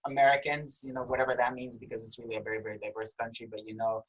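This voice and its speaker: A man hosts a conversational interview. His voice sits at a middle pitch. He speaks in a chatty, affirming way and weaves in personal anecdotes. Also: flat delivery, racing, husky, poor audio. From Israel. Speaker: interview host.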